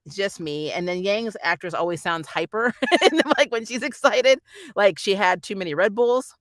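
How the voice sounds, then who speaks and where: A woman's voice: mid-range voice, normal speed, no echo, clean studio sound. A woman hosting a casual, rambling review vlog, talking informally and with animation to her viewers as she shares her opinions. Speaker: anime reviewer and vlog host.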